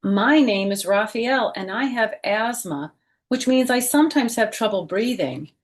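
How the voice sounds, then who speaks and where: A woman's voice: a little high, animated, unhurried. A woman voicing a friendly, open young boy from a storybook, speaking simply and earnestly.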